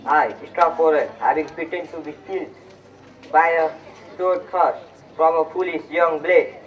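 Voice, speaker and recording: A petulant, proud young suitor in a school play, acted by a young man. His voice is a bit high, and the recording is dull and distant. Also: unhurried, close to an everyday voice. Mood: suspicious. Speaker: student actor. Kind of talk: amateur stage play acting. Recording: muffled, distant mic, noisy room.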